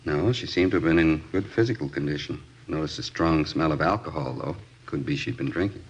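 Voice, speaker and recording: American doctor in old 50s radio show, low voice, quick n clipped, dull sound. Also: male voice, husky. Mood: matter-of-fact. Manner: clipped, matter-of-fact. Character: brisk, matter-of-fact male hospital doctor. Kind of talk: 1950s radio acting.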